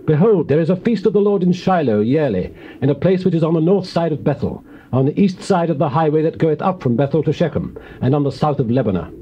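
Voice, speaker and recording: Actor doing solemn bible elder, authoritative, mellow tone, dull tape w faint hiss. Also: male voice, a little high, lively intonation, quick pace, natural voice. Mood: solemn counsel. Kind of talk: dramatized scripture speech. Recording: muffled hissy old cassette tape.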